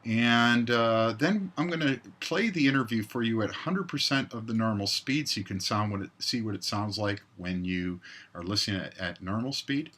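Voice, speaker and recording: A man presenting a step-by-step software tutorial in a voice-over. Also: on the low side, normal speed. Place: indoors, screen recording voice-over.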